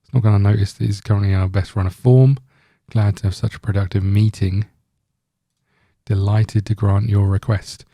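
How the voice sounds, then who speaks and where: A man with a very dark, relaxed voice on the low side talks through a video game. He rambles casually, thinking aloud as he hosts. The sound is dry and close. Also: normal speed, gravelly, no echo. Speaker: gaming video host. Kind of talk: casual let's-play commentary.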